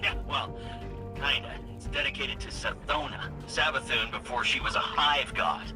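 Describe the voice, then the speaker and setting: An actor playing an eager male investigator who is excited about a new lead, talking in a quick, offhand way. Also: mid-range voice, lightly acted. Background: music.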